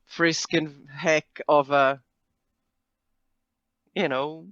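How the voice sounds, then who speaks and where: Bright-voiced chatty male player, dull mic. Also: on the high side, flat delivery, drawn-out words, no echo. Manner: chatty, laughing, hesitant with fillers. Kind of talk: casual tabletop play.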